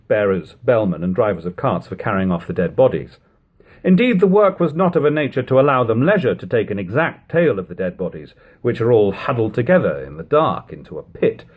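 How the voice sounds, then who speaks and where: A man reads antique prose aloud as a narrator. His voice sits a little low and has a rough, husky grain. He shapes long, measured phrases with lively swings of pitch. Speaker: audiobook reader. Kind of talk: scripted narration, reading an old literary journal aloud.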